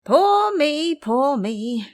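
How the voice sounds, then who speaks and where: A woman plays a proud male monkey trickster from an audio-drama legend. He is aggrieved and hot-tempered, declaiming in a high, highly animated voice. The sound is close and dry. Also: drawn-out words, dry recording, exaggerated voice. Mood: lamenting, self-pitying. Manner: declamatory, theatrical.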